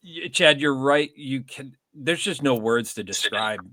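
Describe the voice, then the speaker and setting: Bright, halting podcast host, a man. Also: medium pitch, no room sound. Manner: halting, stumbles and restarts often. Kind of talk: casual podcast conversation.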